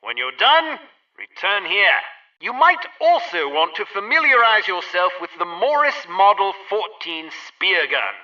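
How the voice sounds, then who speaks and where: A man's voice: high voice, big pitch swings, a little slow. An actor playing a male gadget instructor in a game, giving precise scripted instructions, slightly muffled and distant. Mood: matter-of-fact.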